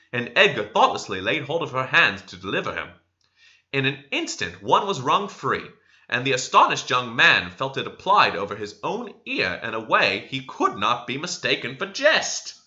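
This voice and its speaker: A man's voice: medium pitch, wildly swooping pitch, normal speed, pushed and bright. A man reading literary narration expressively, as an audiobook reader. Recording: slightly dull lo-fi recording.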